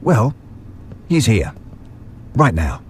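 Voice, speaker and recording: A man's voice: mid-range voice, big pitch swings, drawn-out words, a little hiss or hum. A man playing a maniacal butcher villain in an audio drama, theatrical and condescending in his delivery. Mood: dismissive. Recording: music under the voice.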